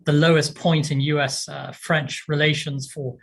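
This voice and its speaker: A man giving unscripted interview analysis as a commentator, with a halting, hesitant delivery. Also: on the high side, little pitch movement, vocal fry.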